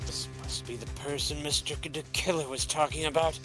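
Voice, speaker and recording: A man's voice: a little high, fairly even intonation, brisk, natural voice. A man playing a serious, stern and easily indignant young prosecutor in a video game fan dub. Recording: music playing under the voice.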